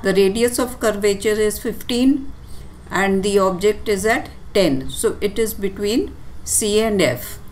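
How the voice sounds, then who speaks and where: A woman teacher giving a step-by-step tutorial explanation in a deliberate, instructive way with short, pausing phrases. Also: mid-range voice, darkish tone, a little hiss or hum, poor audio. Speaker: physics teacher. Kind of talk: step-by-step tutorial explanation over handwritten working.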